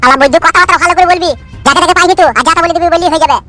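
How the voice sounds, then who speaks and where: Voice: extremely high pitch, very fast, pushed and bright. A performer voicing a brash, foul-mouthed young village man who bickers and taunts in a comedy cartoon. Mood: threatening. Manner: taunting, swearing, slangy rural speech.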